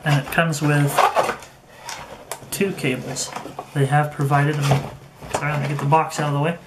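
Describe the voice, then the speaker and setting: A man giving a casual, unscripted product demonstration, thinking aloud and haltingly as he handles things. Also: mid-range voice. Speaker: product reviewer. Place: indoor room. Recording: echoey room, faint background noise.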